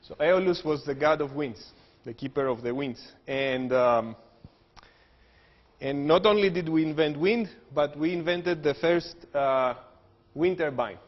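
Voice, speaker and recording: A man with a Greek accent walks through an engineering lecture, joking early on and explaining step by step with plenty of fillers. His voice sits somewhat high. The recording is dull and lo-fi, with the long reverb of a lecture hall. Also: a little slow. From Greece. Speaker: engineering professor. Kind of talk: technical lecture with jokes.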